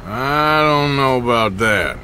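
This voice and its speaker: Animated American cowboy. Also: male voice, medium pitch, slow, slight background noise. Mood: gruff, wry. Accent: American Western drawl. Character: tough, blunt cowboy outlaw hunting stories. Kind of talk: video game cutscene acting.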